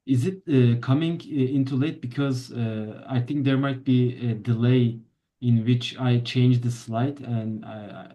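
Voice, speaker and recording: A man presenting informally on an online call, speaking in a hesitant, halting way with many short broken phrases. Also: mid-range voice, steady tone, dark tone, muffled recording. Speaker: presenter and slide operator.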